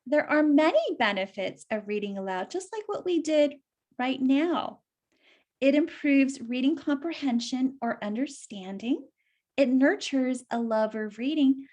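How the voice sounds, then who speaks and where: A woman's voice: high-pitched, animated, measured pace, a little mellow. A woman reading a children's story aloud and explaining it simply, as if to young listeners.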